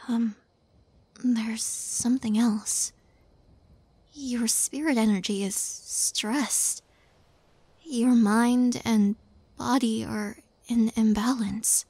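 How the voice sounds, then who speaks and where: Soft, breathy, slow-talking shy young witch, acted by a woman. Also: fairly high pitch, fairly even intonation, no echo, exaggerated voice. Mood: tender, concerned. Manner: gentle, hesitant, halting, often breathy near-whisper. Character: sweet, shy, apologetic young witch healer. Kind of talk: soft intimate audio roleplay.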